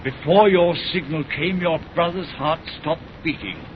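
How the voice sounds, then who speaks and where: An actor playing a grave, earnest doctor bearing bad news in 1930s radio horror style. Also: male voice, on the high side, moderate pace, close to an everyday voice. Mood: grave urgency. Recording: muffled, noisy old radio recording.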